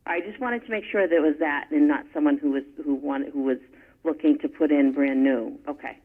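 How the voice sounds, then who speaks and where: A man's voice: fairly high pitch, fairly quick. A man on the board, calling in and asking hesitant questions, repeating words as he goes. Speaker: board commissioner. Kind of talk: questions at a public meeting. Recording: tinny phone-like line.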